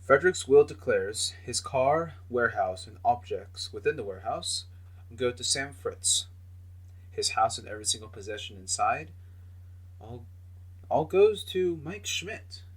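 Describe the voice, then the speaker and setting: A man playing a solemn, formal executor in an audio drama, speaking ceremoniously in a husky voice. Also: medium pitch, animated, unhurried, low-quality recording. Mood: formal, emphatic. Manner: ceremonious, oratorical.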